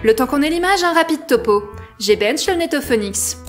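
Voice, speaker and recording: A woman's voice: high-pitched, expressive, normal speed, soft background music. A woman playing a sceptical, matter-of-fact finance manager at a start-up in a comic audio drama. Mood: sceptical, businesslike.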